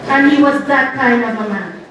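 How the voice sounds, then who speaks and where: A woman's voice: high voice, moderate pace, fairly bright tone. A Jamaican woman giving a funeral tribute, telling stories with sing-song emphasis. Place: church service. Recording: echoey church from far off, noisy.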